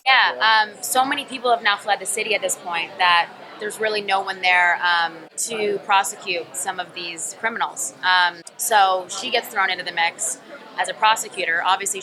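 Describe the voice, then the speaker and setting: A young woman actor answering press questions in a thoughtful, rambling way with many ums. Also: lowish voice. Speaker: actor being interviewed. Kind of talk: press room interview answers. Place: busy convention press room. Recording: crowd chatter behind.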